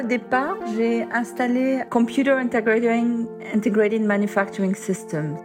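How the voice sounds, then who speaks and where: A woman's voice: fairly high pitch, darkish tone. A woman in her fifties, an executive guest, telling her career story in a casual interview, chatty and dropping English words in. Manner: chatty, sprinkles English words and lots of "en fait".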